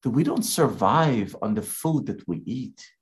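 A man from Guyana, an evangelist, preaching a sermon in a slow, deliberate way with emphatic rises. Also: medium pitch, expressive.

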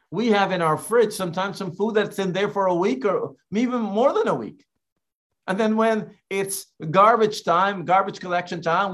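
A man from Guyana, an evangelist, preaching a sermon in a slow, deliberate way with emphatic rises. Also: high voice.

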